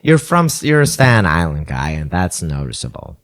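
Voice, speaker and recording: A man's voice: deep voice, very animated, moderate pace. A man co-hosting a podcast in casual chat, making agreeable, opinionated remarks. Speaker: podcast co-host.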